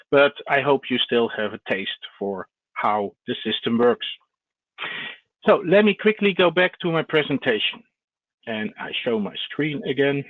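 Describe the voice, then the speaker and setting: A man presenting a webinar over a video call, speaking in a measured way with frequent hesitant pauses. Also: mid-range voice, no room sound. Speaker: company founder presenting a webinar. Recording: thin phone-like online call, dry.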